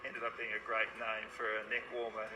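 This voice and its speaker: A man explains a community sewing project at an easy pace, filling his sentences with ums and uhs. His pitch stays flat and even. The echoey workshop room and background noise come through on the recording. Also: fairly quick. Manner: relaxed, filler-heavy explaining. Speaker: small business owner.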